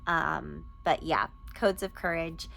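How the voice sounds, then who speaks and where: Woman, lowish creaky voice, informal and enthusiastic vlog delivery, faint background noise. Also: slow pace, fairly bright tone. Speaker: book reviewer and podcast host. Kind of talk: casual chatty book recommendation vlog.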